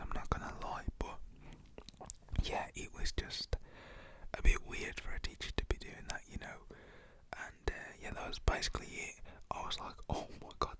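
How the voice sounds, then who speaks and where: Whispering guy telling a story. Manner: hushed, chatty, loose with fillers.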